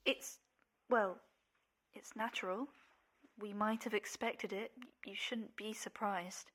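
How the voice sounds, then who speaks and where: A woman's voice: medium pitch, steady tone, slow, a bit bright. An actress playing an outspoken, superstitious young daughter in a radio play, with girlish earnestness. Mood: hushed, awkward.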